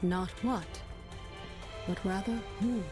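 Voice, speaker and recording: A woman voices a composed, regal lady in a game cutscene, speaking in a measured and formal way at a middle pitch. A score plays beneath her. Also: over music, natural voice. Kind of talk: video game voice acting.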